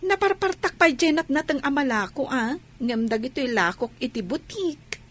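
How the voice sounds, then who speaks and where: A woman's voice: high-pitched, lively intonation, normal speed. An actress playing a kindly older mother who gives blessings and encouragement in a family drama. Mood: warm, encouraging.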